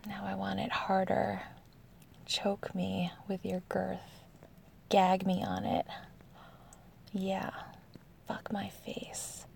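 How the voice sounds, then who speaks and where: A woman acting a slow, breathy, whispering lover. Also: medium pitch, warm tone, a little hiss or hum, exaggerated voice. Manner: slow, intimate, addressing the listener directly. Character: amorous, eager adult female lover in audio roleplay. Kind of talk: intimate whispered adult ASMR roleplay.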